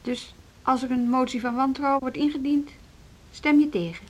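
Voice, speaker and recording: An actress playing a probing, wary woman teacher in a Dutch radio play, with a husky voice. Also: sits high, normal speed, darkish tone, poor audio. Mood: probing, guarded. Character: probing, scheming female music school teacher, evasive and wary.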